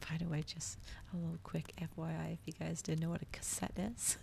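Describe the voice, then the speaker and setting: A woman with a low voice and noticeable vocal fry speaks softly into a close mic in an ASMR style. She moves between storybook narration and cozy streamer chat, often near a whisper, with some noise in the background. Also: flat delivery, a little fast. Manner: soft-spoken, often slipping into whispers. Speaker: streamer reading aloud to viewers.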